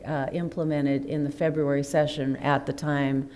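A woman in her fifties chairing a county commission meeting, measured and procedural, speaking into a desk microphone at the dais. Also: low-pitched, flat delivery, a little hiss or hum. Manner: measured, procedural, quietly reserved. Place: county commission hearing room, indoors.

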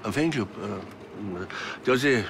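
An actor playing a plain, wistful amateur football club man with a Bavarian accent, halting and matter-of-fact, in a TV crime comedy. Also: fairly low pitch, gravelly, noisy background. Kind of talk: naturalistic TV crime comedy dialogue.

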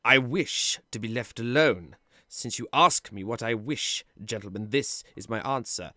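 An actor playing Scrooge, a bitter old miser who talks in a cold, dismissive, sneering way. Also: male voice, medium pitch, unhurried, bright, forceful tone, no room sound, studio quality. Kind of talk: audio drama acting.

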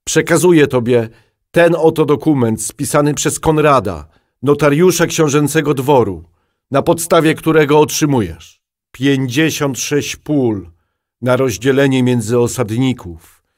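A man's voice: mid-range voice, unhurried, lightly acted. A man playing a medieval duke in an audio drama, proclaiming formally in a solemn, stately manner.

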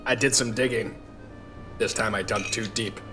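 An actor playing a drunk, disillusioned veteran newspaper reporter with world-weary noir patter in an audio drama. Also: male voice, on the low side, fairly even intonation, normal speed, sharp tone. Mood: weary, bitter. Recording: faint music underneath.

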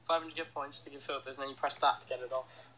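A man chatting casually off to the side of a livestream, quick and jokey as he explains things. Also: medium pitch, steady tone, pushed and bright, low-quality recording.

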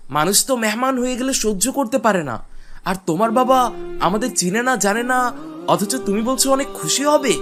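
A man's voice: very high-pitched, animated, normal speed, music in the background. A man playing a courteous, questioning well-off traveling husband in a cartoon. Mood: doubtful. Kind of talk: cartoon voice acting.

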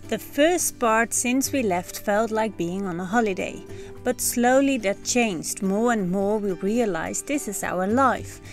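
A Dutch woman in her thirties with a Dutch accent, calmly narrating her family's sailing year in a scripted voice-over. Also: mid-range voice, unhurried, faint music behind. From Netherlands. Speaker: sailing vlogger. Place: on a sailboat cockpit under a canopy, outdoors.

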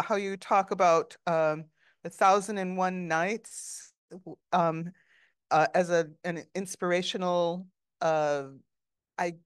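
Older woman asking halting questions. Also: lowish voice, clean tone, dry recording, clean studio sound. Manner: halting questions with many ums. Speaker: interviewer. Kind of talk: onstage author conversation. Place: small stage before an audience, indoors. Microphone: handheld mic.

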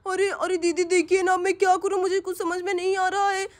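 Lady voicing a blustery young guy in a cartoon, fussy and loud, kinda whiny. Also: very high-pitched, level tone, fairly quick. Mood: panicked. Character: young husband, careless, fussy and boastful.

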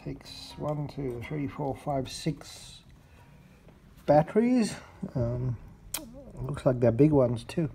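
A man's voice: mid-range voice, animated, slow, gravelly, pauses often, dark tone, soft background music, poor audio. A man giving a casual, unscripted demonstration as a hobbyist repairer, recorded on an amateur home video. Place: indoors at a cluttered home table. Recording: noticeable background noise, amateur home video.

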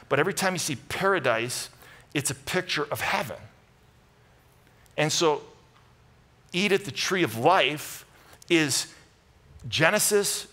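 Bright-voiced American preacher man. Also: fairly high pitch. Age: middle-aged. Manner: earnest, exhorting, informal. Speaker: pastor. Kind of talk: conversational sermon to a congregation. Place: large church auditorium, speaking from a stage.

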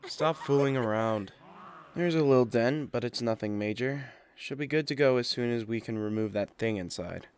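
A man's voice: mid-range voice, normal speed, background noise, natural voice. A man playing an irritable, blunt young survivor with a curt, sarcastic manner in a webcomic dub. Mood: grim, curt. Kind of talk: animated webcomic fan dub.

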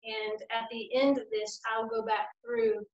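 Woman leading prayers, higher voice, echoey room. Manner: measured, steady liturgical reading. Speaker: church worship leader. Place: small room at home, standing at a lectern. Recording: echoey room, dull lo-fi sound.

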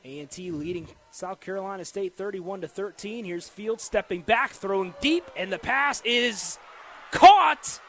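An American man calling live football play-by-play on the radio with excitable, dramatic delivery. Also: high voice, measured pace. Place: football stadium during a live game. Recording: noisy live stadium broadcast.

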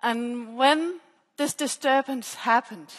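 Fairly high woman lecturing in a hall. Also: slow pace. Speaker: PhD researcher presenting at a conference. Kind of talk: academic conference talk. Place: stage in a large dark auditorium. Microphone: headset. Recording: echoey hall with long reverb.

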